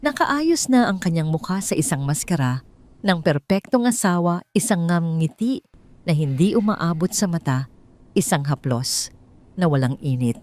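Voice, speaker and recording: A woman's voice: lowish voice, lively intonation, moderate pace, a little mellow. A woman reading a scripted dramatic story aloud as its narrator.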